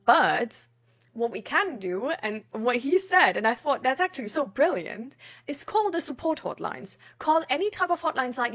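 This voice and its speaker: A woman's voice: a little high, fairly bright tone. A woman in casual, rambling chat, thinking aloud in an informal way.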